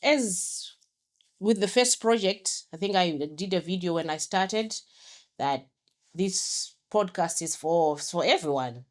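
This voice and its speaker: A woman's voice: lowish voice, expressive, slow pace. A middle-aged woman from Zimbabwe hosting a solo podcast, chatty and promotional, often correcting herself as she talks. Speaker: podcast host.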